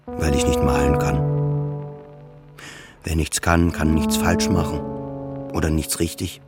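Deep-voiced brooding man. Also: steady tone, loud music behind the voice. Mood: bitter self-doubt. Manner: halting, repetitive, introspective monologue. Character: depressed forty-year-old male patient, brooding, self-doubting narrator. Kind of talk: radio drama monologue.

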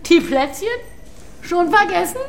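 A woman's voice: very high voice, unhurried, gravelly, heavily acted. A woman playing a questioning grown-up of a hotel household in a children's radio play.

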